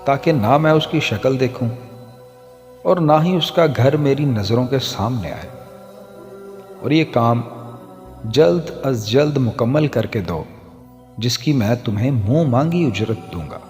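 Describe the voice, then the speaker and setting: Slow-paced male storyteller in heavy reverb. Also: medium pitch, animated, a little mellow. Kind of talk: scripted moral story narration. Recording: long hall reverb, music underneath. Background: background music.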